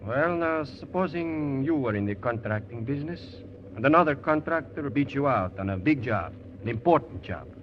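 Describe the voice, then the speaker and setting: An actor playing a gruff, folksy older male tunnel worker, in 1940s radio style. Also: mid-range voice, expressive, normal speed, lightly acted. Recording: dull, faintly hissy old radio recording.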